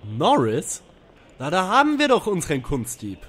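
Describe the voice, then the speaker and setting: A man's voice: on the high side, huge pitch swings, normal speed, a bit bright, husky, heavily acted. An actor playing a dry, suspicious young male detective in a parody audio drama.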